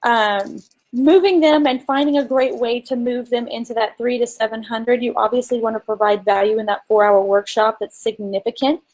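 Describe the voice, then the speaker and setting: A woman's voice: on the high side, fairly even intonation, normal speed, a little mellow, low-quality recording. A woman co-hosting a casual business coaching chat, talking informally and emphatically and explaining with examples. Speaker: co-host and marketing coach.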